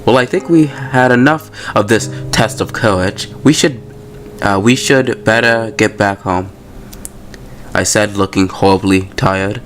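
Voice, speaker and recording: A man's voice: lowish voice, measured pace, soft background music. A man hosting a gaming video, casually commenting and reading story text aloud in an informal, slangy way.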